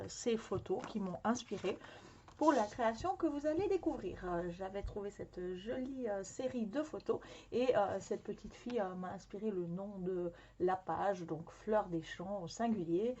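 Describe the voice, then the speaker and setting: A woman hosting a scrapbooking workshop, presenting supplies in a friendly, casual way with many hesitations. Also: medium pitch. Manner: friendly, frequent hesitations with "euh". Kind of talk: casual product demonstration. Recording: slightly dull lo-fi sound.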